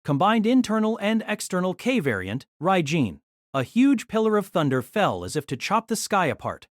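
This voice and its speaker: A woman's voice: on the low side, no echo, studio quality. A woman narrating a novel in a scripted, dramatic storytelling style.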